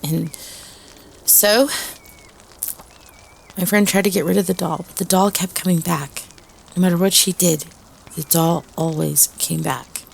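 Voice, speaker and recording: A woman acting as a student telling a ghost story at a campfire, with a husky voice and dramatic pauses. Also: lowish voice, fairly even intonation, a little hiss or hum. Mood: somber, eerie. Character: young woman student telling a spooky doll story, playful prankster. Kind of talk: audio roleplay campfire storytelling.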